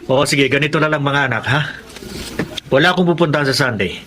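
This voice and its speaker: A man's voice: mid-range voice, quick pace, noisy background, natural voice. A man acting an evasive, defensive husband and father in a Tagalog radio drama. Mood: placating.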